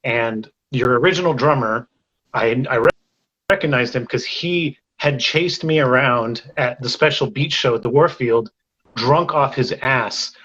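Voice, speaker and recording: A man who is a guest on a podcast, telling an animated anecdote full of "like". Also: medium pitch, unhurried, slightly rough, muffled recording. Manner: animated anecdote teller, lots of "like". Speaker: guest, author. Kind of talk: casual chat.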